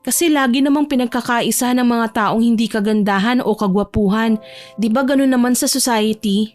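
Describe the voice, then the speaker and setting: A woman's voice: a little high, normal speed, darkish tone, soft background music, lightly acted. A woman with a Filipino accent voicing a timid, insecure, emotional young woman in an audio romance drama. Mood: hurt, subdued.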